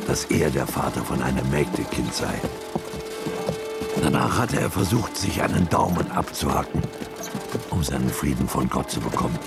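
A man serves as the narrator of a literary radio drama. His voice is extremely deep and gravelly, with animated rises and falls over prominent music. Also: normal speed.

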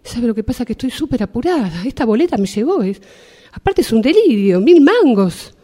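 A woman playing an impatient, hurried worker stuck in a queue in an improvised radio drama exercise, with an Argentine Spanish accent. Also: on the high side, sing-song, warm tone. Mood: impatient, pleading.

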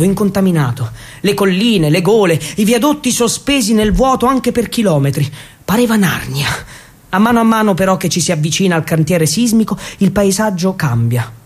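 Man, high voice, dramatic theatre narration, deliberate repetitions, ironic asides. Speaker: actor and storyteller.

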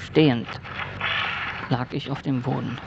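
A man playing a haunted, disoriented narrator of a surreal radio drama, gravelly and speaking in deliberate, broken phrases. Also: mid-range voice, fairly even intonation. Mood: morbid, intense. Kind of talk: surreal first-person radio drama monologue. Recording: dull lo-fi recording, loud background noise.

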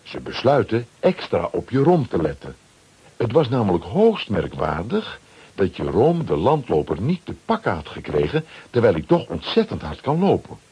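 A man narrating a children's radio play as a scripted storyteller, with a very husky voice. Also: lowish voice, huge pitch swings, normal speed, dark tone, heavily acted.

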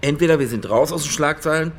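A man's voice: on the high side, quick pace, gravelly, slight background noise. An actor playing an imperious, slippery businessman client in a radio crime drama, brusque and insistent, with a joking streak. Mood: insistent, pressing.

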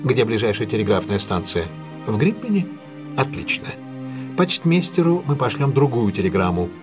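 An actor playing a shrewd, self-possessed gentleman detective in an audio drama, measured and authoritative. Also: mid-range voice, very animated, music in the background, lightly acted. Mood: brisk, commanding.

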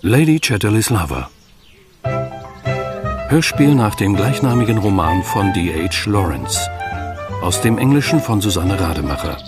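A man's voice: fairly low pitch, big pitch swings, measured pace, pauses often, loud music behind the voice. A man formally announcing radio play credits, reading titles and names as an announcer.

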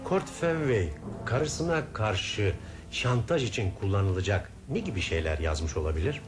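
A man's voice: lowish voice, very animated, measured pace. A shrewd male investigator over music. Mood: polite, probing. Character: shrewd, scheming male investigator, polite and guarded. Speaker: radio drama actor. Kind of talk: Turkish radio theater acting.

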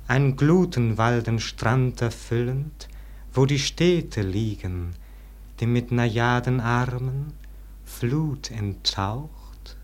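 A man's voice: medium pitch, a little mellow, natural voice. An actor playing a melancholy, yearning nobleman in a classic radio verse drama, declaiming lyrically in standard German stage diction. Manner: solemn, lyrical, drawn-out declamation.

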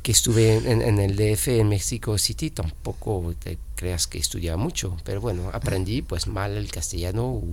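A man in his fifties with a French accent, a guest chatting casually in an interview, often pausing and restarting. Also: fairly low pitch, creaky, a little hiss or hum. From France. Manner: halting, many restarts and fillers. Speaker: guest illustrator.

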